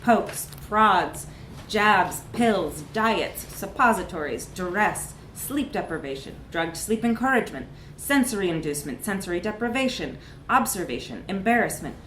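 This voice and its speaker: A woman reads a comic short story at a live literary event in an echoey room with faint background noise. Her delivery is expressive, leaning on words for dramatic emphasis. Her voice sits at an ordinary female pitch. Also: moderate pace.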